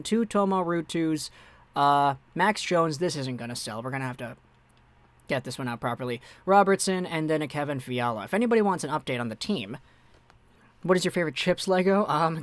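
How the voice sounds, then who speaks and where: A young man with a fairly high voice hosts a laid-back hockey live stream. He chats easily, reading out viewer messages and answering them. The sound is dry and close, with no room echo. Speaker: hockey livestream host. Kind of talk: casual live-stream chat.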